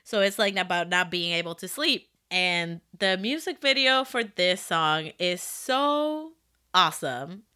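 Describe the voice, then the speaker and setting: A woman with a Dominican accent co-hosting a casual chat about K-pop, talking excitedly with lots of fillers. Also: lowish voice, unhurried, clean tone. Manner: excited, rambling with many fillers. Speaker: podcast co-host.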